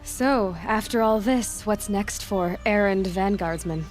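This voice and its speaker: An actress voicing a young, independent hunter, frank and guarded, in a cinematic video game. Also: female voice, medium pitch, unhurried, faint music behind, natural voice. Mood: teasing, friendly. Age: young adult.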